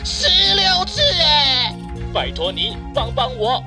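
Woman as an excitable, high-pitched cackling cartoon locksmith. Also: takes it slowly, music in the background, full character voice. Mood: excited, pleading. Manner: exclaims, repeats himself, punctuates talk with quacking cackles. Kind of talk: children's audio drama acting.

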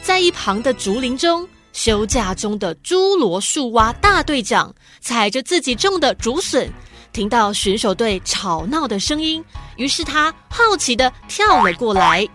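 A woman's voice: on the high side, sing-song, unhurried, a bit bright, soft background music. A woman narrating a scripted children's radio drama as a storyteller.

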